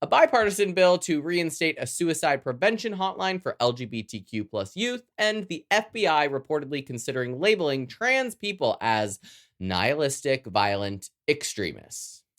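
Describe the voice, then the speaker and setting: A Canadian podcast host with a very lively, swooping delivery at a middle pitch. The manner is sassy and playful, moving between scripted news reading and chatty, campy asides. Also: moderate pace.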